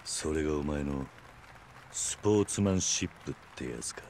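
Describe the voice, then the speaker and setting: Very deep, stoic yakuza brawler. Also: male voice, animated, normal speed, a little mellow, some chatter behind, slight background noise. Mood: stern, guarded. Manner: terse, blunt, guarded. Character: stoic, tough middle-aged yakuza brawler hero. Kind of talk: dramatic video game acting.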